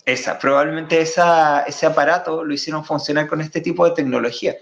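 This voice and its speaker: A man presenting slides in a live online talk. He explains at length, often pausing and repeating words. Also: fairly high pitch, steady tone, creaky, echoey room, dull audio. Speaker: guest presenter.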